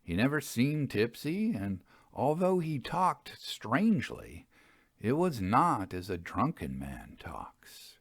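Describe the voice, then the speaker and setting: A man narrates a vintage tale of the uncanny as an audiobook reader. His delivery is slow and measured, with lively rises and falls in pitch. The sound is dry and close, free of room echo. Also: breathy edge. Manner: measured, expressive storytelling. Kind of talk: scripted narration of a first-person Victorian railway ghost story.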